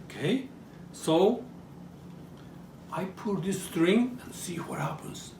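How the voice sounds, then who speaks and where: A man with a Japanese accent presents a hands-on demonstration in a slow, highly animated delivery. He keeps putting little checking questions to his listeners. The recording is roomy and distant, with some background noise. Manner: frequent checking questions to the audience. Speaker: presenter demonstrating traditional toys. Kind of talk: live show-and-tell demonstration.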